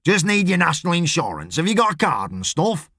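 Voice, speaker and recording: Man doing a gruff, shouty small-time boss, raised pitch. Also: sing-song, brisk, no echo, clean studio sound, full character voice. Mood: suspicious. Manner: blunt, sweary, shouty. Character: gruff, irritable, shady middle-aged male welding boss. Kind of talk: audiobook character voice.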